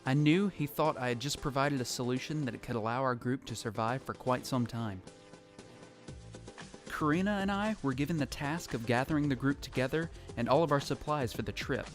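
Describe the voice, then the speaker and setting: A man's voice: mid-range voice, a little fast, faint music behind, lightly acted. A man playing a lonely, reflective survivor who narrates his post-apocalyptic journey in a scripted audio drama.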